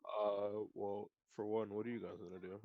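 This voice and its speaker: A man's voice: fairly low pitch, level tone, moderate pace, no room sound, narrow, tinny audio. A man chatting casually as a player in a tabletop roleplaying game.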